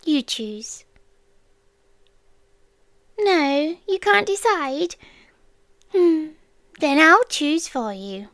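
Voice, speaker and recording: British woman doing an ancient creature yandere girlfriend for asmr, slow n deliberate, soft whispers, high voice, creepy devoted and intimate. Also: animated, no room sound, heavily acted. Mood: possessive, teasing. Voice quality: soft, often slipping into breathy whispers. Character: ancient possessive female creature, a watchful, devoted stalker girlfriend. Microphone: close mic, dry.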